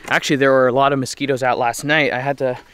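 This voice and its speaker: A man in his thirties talks straight to his camera from a cramped truck camper. He sounds relaxed and chatty, in an everyday mid-range voice. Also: mid-range voice, fast, a little hiss or hum. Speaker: vlogger. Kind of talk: casual solo camping vlog. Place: inside a small truck camper.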